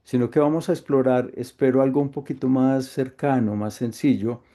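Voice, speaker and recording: A middle-aged Colombian man with a Colombian accent, leading an online workshop in a calm, thoughtful way with frequent hesitations. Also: medium pitch, normal speed. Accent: Colombian Spanish. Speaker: workshop facilitator. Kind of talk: reflective online workshop talk. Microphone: video call.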